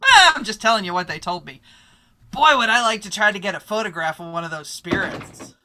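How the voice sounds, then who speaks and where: A woman's voice: medium pitch, lively intonation, brisk, very noisy, close to an everyday voice. A woman playing a brash, eager newspaper photographer in an old-time radio drama, with a breezy, slangy, emphatic delivery. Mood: excited, gossipy.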